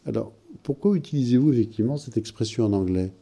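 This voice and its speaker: A man hosting, asking calm probing questions and interpreting a caller's dream. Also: mid-range voice, quick pace, slightly rough. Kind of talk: counselling talk.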